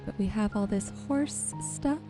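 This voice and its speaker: A woman softly and gently narrating her gameplay in an ASMR style, musing to herself as she goes. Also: medium pitch, unhurried, music in the background. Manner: soft-spoken, gentle musing to herself while sorting. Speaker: gaming video host.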